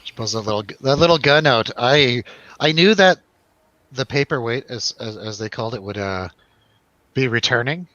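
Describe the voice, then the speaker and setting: Bright-voiced man chatting about films. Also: medium pitch, moderate pace, no room sound, muffled recording. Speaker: film review show host. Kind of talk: casual film discussion with friends.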